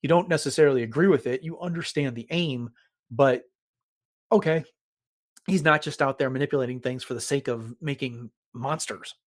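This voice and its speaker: A man with a mid-pitched voice talks as a podcast host. He recaps and reviews in a casual, conversational style, thinking aloud as he goes. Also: moderate pace.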